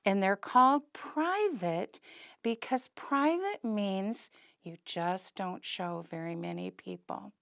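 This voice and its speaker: A woman leads an interactive lesson for preschoolers in a slow, mid-pitched voice. She speaks in simple instructions and questions with encouragement, and the sound is close and dry. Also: animated, creaky, dry recording. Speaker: puppet show presenter for preschoolers. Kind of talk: interactive safety lesson for young children.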